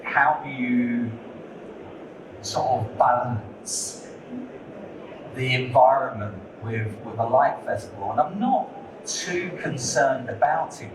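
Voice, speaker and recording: A man talking as a lighting designer on a conference panel. He speaks candidly and conversationally, repeating words as he gathers his thoughts. The sound is distant and roomy, with a steady noise underneath. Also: medium pitch. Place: conference hall. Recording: echoey hall, distant mic, noisy.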